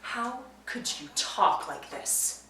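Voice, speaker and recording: A woman's voice: high voice, very animated, moderate pace, fairly bright tone, a little hiss or hum. An actress playing a distressed, indignant woman who accuses others with emphatic, repeated questions in a naturalistic drama. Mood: outraged, upset. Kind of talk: naturalistic film drama acting. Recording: echoey room, distant mic.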